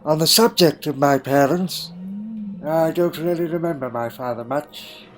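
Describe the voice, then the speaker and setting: A man playing a wistful, eccentric time-travelling alien in an audio drama, with a halting, reflective way of talking. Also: fairly high pitch, close to an everyday voice. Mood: wistful, musing. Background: faint music.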